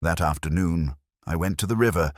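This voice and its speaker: A man narrating as a brooding, reflective English detective, in measured, literary, melancholy first-person mystery storytelling. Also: low voice, dry recording, studio quality. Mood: pensive.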